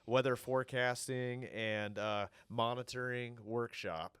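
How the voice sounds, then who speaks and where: A man co-hosting a casual podcast over a remote call, sounding nasal from a cold and easygoing, with drawn-out fillers. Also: medium pitch, steady tone, fairly bright tone, clear, resonant tone, no echo. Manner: easygoing, drawn-out fillers, upbeat sign-offs. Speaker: podcast co-host. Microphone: virtual podcast, remote call.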